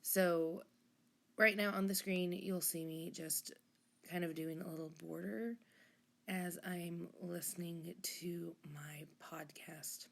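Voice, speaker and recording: A woman hosting a journaling vlog in a casual voice-over, hoarse and froggy from a recent illness, rambling and correcting herself with half-whispered asides. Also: fairly low pitch, fairly even intonation, slow pace, a bit bright. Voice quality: husky, rough. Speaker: journaling channel host.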